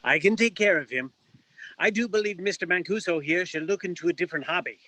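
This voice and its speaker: Man playing a high-voiced, dryly polite gentleman bartender. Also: fast, low-quality recording. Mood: dry, concerned. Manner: formal, dry, old-fashioned politeness. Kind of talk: noir stage play reading.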